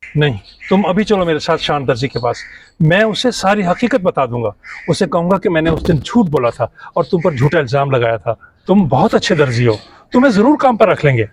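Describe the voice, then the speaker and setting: A man's voice: on the high side, very animated, a little fast, slight background noise. A man playing an emphatic, earnest middle-aged customer with a bristling conscience in an amateur moral drama. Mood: remorseful, insistent. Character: older customer, quick to bristle, later remorseful. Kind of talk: amateur moral short-film acting.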